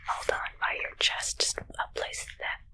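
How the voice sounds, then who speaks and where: A woman voicing an awkward alien posing as a medic in a whispered ASMR roleplay, with halting, broken speech and a puzzled manner. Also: pushed and bright. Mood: curious, fumbling.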